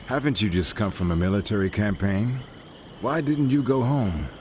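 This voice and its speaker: An actor playing a grave, measured ancient king in a dramatized scripture reading, with weighty authority. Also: lowish voice, lively intonation, a little hiss or hum, close to an everyday voice. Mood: questioning. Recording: loud music bed behind voice.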